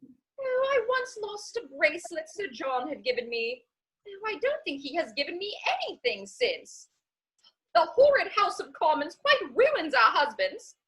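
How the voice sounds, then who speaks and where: A woman's voice: very high voice, very animated, unhurried, fairly bright tone, exaggerated voice, low-quality recording. A woman playing a chatty, scatterbrained Victorian society matron in a gushing, rambling, theatrical comedy reading. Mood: gossipy, airy. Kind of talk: stage comedy dramatic reading.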